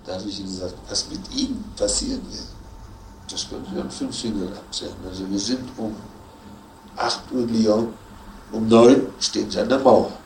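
A man recounting his own past as a former officer, halting and emphatic, repeating himself as he goes. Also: on the low side, expressive, darkish tone. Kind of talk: spontaneous recollection. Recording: echoey, distant, noisy archive tape.

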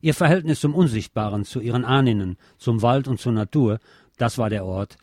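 A man's voice: mid-range voice, moderate pace, a little mellow, no room sound, studio quality. A man hosting a radio show, reading a scripted review in a formal manner and addressing his listeners directly.

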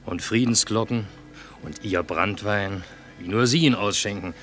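A man's voice: fairly low pitch, a little slow, fairly bright tone, soft background music. A man playing a wry, grumbling army cook out of work, in a colloquial, teasing radio drama style. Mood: wry, courting. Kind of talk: theatrical radio drama acting.

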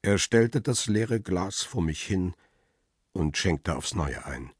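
An actor playing a world-weary photographer who narrates his ordeal in a radio crime play, sombre and deliberate. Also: deep voice, expressive, slightly rough, no echo, studio quality, exaggerated voice. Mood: sombre, intense. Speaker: first-person narrator. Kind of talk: dramatic scripted narration.

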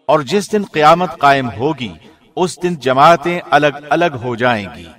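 Calm male radio voice reading scripture. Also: fairly high pitch. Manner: calm, even, formal delivery. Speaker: radio host reading a translation. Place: studio.

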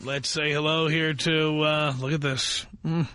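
A male talk radio host with a bright, forceful voice, recorded close and dry on a studio mic. He takes callers briskly, with short punchy replies. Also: a little high, flat delivery, smooth, dry recording. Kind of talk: call-in radio hosting. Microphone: studio microphone.

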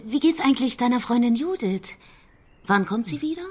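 A woman's voice: on the high side, expressive, moderate pace, lightly acted. A woman acting an earnest, caring companion in a radio drama, speaking with slight hesitance. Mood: caring, hesitant.

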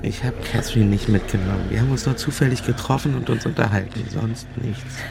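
Gravelly, cocky young guy. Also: lowish voice, brisk. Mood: offhand, defensive. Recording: noisy ambience around the dialogue.

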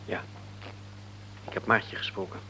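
An actor playing a middle-aged devout friend in a radio play, speaking quietly and calmly as he gives counsel. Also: unhurried, noisy background, lightly acted. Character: calm devout friend, grief-tested middle-aged man. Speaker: friend offering comfort.

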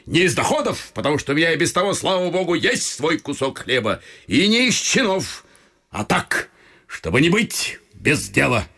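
Animated, bright-voiced old retired officer. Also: male voice, on the high side, exaggerated voice. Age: older. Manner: theatrical, effusive, folksy old-fashioned turns of speech. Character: talkative, touchy old retired officer turned small-town clerk, godfather. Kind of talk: classic Russian radio vaudeville acting. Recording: stage radio play with faint music.

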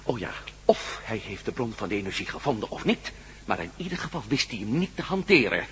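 Bright, animated male adventurer. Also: on the low side, a little fast, slight background noise. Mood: pondering. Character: Matt, inquisitive, level-headed male adventurer investigating alien ruins. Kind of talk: Dutch radio drama acting.

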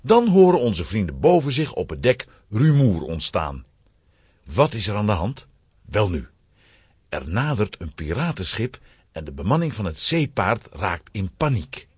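A man's voice: fairly low pitch, husky, no echo, studio quality. A man narrating a scripted children's story with dramatic suspense.

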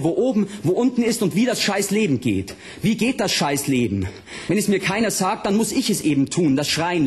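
An actor playing a tormented, provocative punk author with a southern German accent, reading his text aloud in a vehement radio drama monologue. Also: high-pitched. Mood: desperate, defiant. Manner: vehement, colloquial, declamatory.

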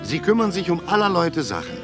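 Cabaret reciter, radio drama, theatrical declamation, widely swinging pitch, guitar music behind. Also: male voice, huge pitch swings, brisk. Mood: wry, theatrical. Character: cabaret performer reciting a satirical poem about shouting. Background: plucked guitar music.

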